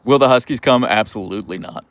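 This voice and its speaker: A middle-aged American man hosting a casual livestream, playful and wry, reading out comments and answering in halting starts. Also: mid-range voice, dry recording. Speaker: livestream host.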